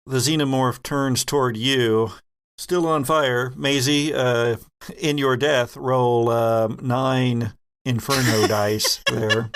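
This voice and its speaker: A man narrating a tabletop horror game as game master, telling it deliberately with pauses. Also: mid-range voice, fairly even intonation, a bit bright, clear, resonant tone.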